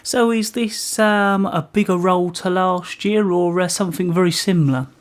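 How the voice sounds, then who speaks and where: A man hosting an informal community radio interview, asking questions in a hesitant, halting way. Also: sits high.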